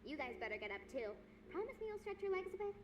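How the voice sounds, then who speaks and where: Over a noisy background, an actress plays a young female streamer in an audio drama. She is high-voiced and quick, chatting brightly and looking after her fans. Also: very animated. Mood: cheerful, caring. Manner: chirpy, nurturing, chatty. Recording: clear background noise and ambience.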